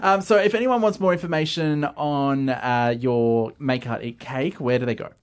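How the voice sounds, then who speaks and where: A man hosting a radio interview, relaxed, with plenty of uhs. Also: mid-range voice, moderate pace, smooth. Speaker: radio host.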